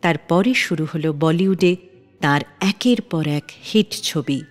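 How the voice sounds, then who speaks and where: A woman hosting a radio show, reading scripted narration and introducing songs in a measured announcer's manner. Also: on the low side, big-room sound, faint music behind.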